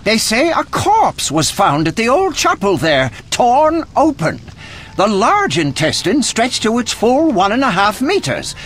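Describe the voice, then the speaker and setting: A man's voice: high-pitched, huge pitch swings, a little slow, a bit bright, full character voice. A man acting an eccentric, morbidly curious alchemist scholar in a video game, erudite and precise in his speech. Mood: pedantic, relishing. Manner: erudite, precise, relishing grisly detail.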